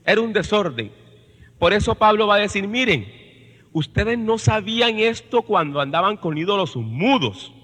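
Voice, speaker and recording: A man's voice: high voice, sing-song, normal speed, fairly bright tone. A man with a Colombian Caribbean accent preaching as a priest to his congregation, emphatic, repeating phrases and putting questions to listeners. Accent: Colombian Caribbean Spanish. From Colombia's Caribbean coast. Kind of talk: sermon-like talk to a congregation. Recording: long reverberation of a large hall.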